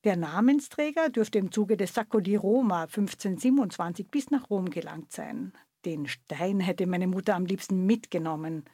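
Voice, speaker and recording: Measured woman narrating. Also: mid-range voice, dark tone, dry recording, studio quality. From Carinthia, Austria. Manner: measured, deliberate storytelling. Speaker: radio feature presenter. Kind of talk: scripted radio narration.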